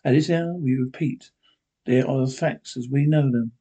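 A man reading an emergency radio news bulletin in a measured, formal way. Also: medium pitch, dark tone, slightly rough.

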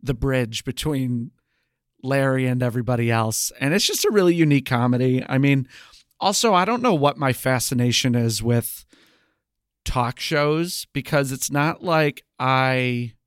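A man's voice: medium pitch, expressive, unhurried, no echo. Man co-hosting a casual podcast, dry close sound. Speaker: podcast co-host. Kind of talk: casual TV review podcast chat.

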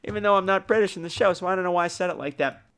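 A man's voice: high-pitched, racing, fairly bright tone, smooth. A man hosting a podcast solo in casual, rambling talk, with a campy, self-mocking, bawdy manner. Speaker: podcast host.

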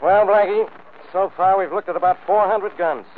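An actor playing a stubborn, bossy, brusque police inspector in a 1940s radio drama. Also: male voice, sits high, moderate pace, loud music behind the voice, lightly acted. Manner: blustering, brusque. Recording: noisy narrow-band old radio recording.

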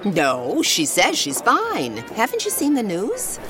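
An actress playing a brash, vain, worldly socialite widow in an audio drama, with a knowing, theatrical drawl. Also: female voice, fairly high pitch, huge pitch swings, slow, music in the background, heavily acted.

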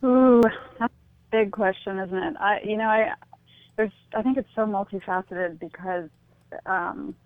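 Female radio guest on a narrow phone line, mid-pitched, thoughtful, conversational, feeling her way through answers. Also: steady tone, measured pace, dry recording. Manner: tentative, searching for words. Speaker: interview guest.

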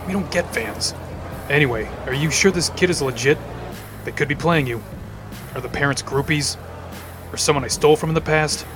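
A man's voice: a little high, normal speed, long pauses, over music, lightly acted. A man playing a cool, sardonic male supervillain in an audio drama, with a dry, joking delivery. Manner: dry, wisecracking.

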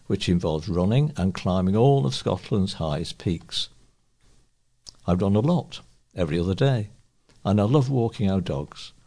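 A man with a husky voice reading local newspaper articles aloud as a volunteer reader. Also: sits low, warm tone.

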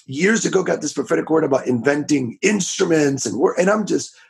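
A man in his thirties from Florida, a preacher telling his story in a casual interview, with a raspy voice, talking loud and fast with many restarts. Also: fairly high pitch, animated. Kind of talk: casual testimony in a podcast interview.

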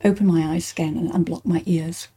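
Older English woman with a mellow voice. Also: lowish voice, fairly even intonation, brisk, breathy edge. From Bristol, England. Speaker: interview guest, actor. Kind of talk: conversational personal reminiscence.

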